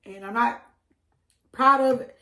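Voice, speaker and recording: A woman's voice: fairly high pitch, unhurried, bright, forceful tone, echoey room. Chatty woman eating on camera. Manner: chatty, preachy asides, laughs often. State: slightly hoarse. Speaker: mukbang creator. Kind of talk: casual eating-show chat.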